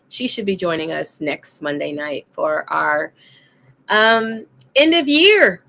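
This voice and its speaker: A woman hosting a casual adult chat show, hoarse and deep-voiced from being sick, laughing and coughing as she talks. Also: a bit bright, a little hiss or hum. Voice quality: deep, rough voice. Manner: laughs often, coughs between lines. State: hoarse from illness. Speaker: host.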